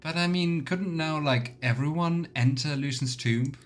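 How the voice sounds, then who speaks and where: Guy doing a chill-paced let's play, slow talker, thinking out loud, casual role-play bits. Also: on the high side, faint music behind. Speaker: game streamer.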